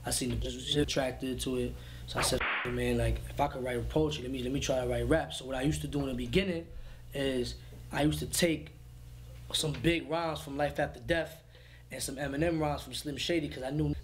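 A man's voice: mid-range voice, fairly even intonation, brisk, a little hiss or hum. A man in his twenties with a New York accent, a rapper talking casually in an interview with lots of street slang and fillers. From New York City. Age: 20s. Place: indoor brick-walled room.

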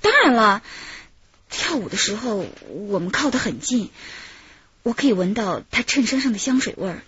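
A woman's voice: fairly high pitch, sing-song, normal speed, a bit bright, slightly rough, heavily acted. An actress playing a chatty, self-pitying housewife in her thirties in a radio drama, rambling and gossipy with dramatic sighs. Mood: animated, recounting. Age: 30s. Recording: muffled, roomy radio drama recording.

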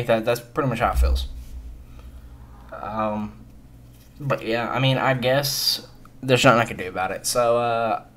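A man's voice: mid-range voice, a bit bright. A man of twenty-one giving casual, unscripted gaming commentary in a hesitant, rambling and resigned manner. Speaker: gaming video creator.

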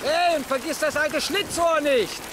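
An actor playing a pushy, boisterous, golf-mad buddy in a German sitcom dub, bossy and excitable. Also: male voice, very high-pitched, unhurried. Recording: loud rain and crowd-like background noise.

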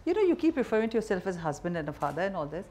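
A middle-aged woman with an Indian accent interviews in a conversational, probing way. She talks quickly, and her pitch moves in lively swings. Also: a little hiss or hum. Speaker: interview host. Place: seated indoors in a wood-panelled bar set.

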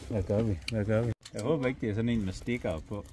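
A Danish man chatting casually and jokingly, giving a running commentary, picked up by a handheld phone out on an open boat. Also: lowish voice. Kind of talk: casual chat while fishing. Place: open motorboat at sea. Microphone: someone else's handheld phone. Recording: noisy outdoor handheld phone recording.

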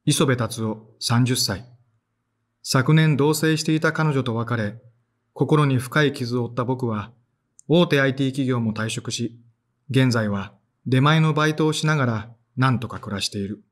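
A man's voice: mid-range voice, unhurried, natural voice. An actor in his thirties playing a tired, sighing man who narrates his own story in an audio drama. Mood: weary. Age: 30s. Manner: sighing, tired, self-deprecating. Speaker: narrator and lead character.

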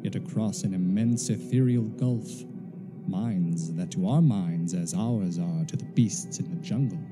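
An actor playing a grave, portentous narrator in a radio play, with solemn, measured delivery. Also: male voice, sits low, loud music behind the voice. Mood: grave, ominous. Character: grave, ominous male narrator of an alien invasion.

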